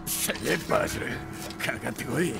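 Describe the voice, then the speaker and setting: A man playing a gruff, blunt middle-aged demon hunter in a Japanese video game. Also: brisk, loud music behind the voice, close to an everyday voice. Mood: taunting, fierce. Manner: blunt, taunting.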